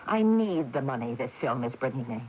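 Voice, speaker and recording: An earnest, easily exasperated woman in a radio mystery. Her pitch moves in lively sweeps, and the sound is dull with faint hiss, like a taped broadcast. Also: brisk. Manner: earnest, expressive, scolding. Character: exasperated, plucky woman householder, the ghost's descendant. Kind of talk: radio mystery drama acting. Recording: dull, hissy 1980s radio tape.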